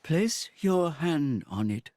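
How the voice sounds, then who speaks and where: A man voicing a wise, solemn old mentor in a video game, husky and measured as he gives instruction. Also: fairly high pitch, big pitch swings, darkish tone, no room sound, clean studio sound. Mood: solemn, guiding. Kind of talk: video game cutscene acting.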